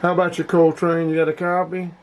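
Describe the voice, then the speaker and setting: Folksy middle-aged man, slightly high-pitched. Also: level tone, fast, heavy background noise. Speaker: hobbyist video host. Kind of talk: casual product review vlog.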